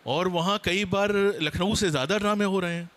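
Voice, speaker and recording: Male seminar panelist, slightly high voice, explanatory address to an audience. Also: a little fast, a bit bright.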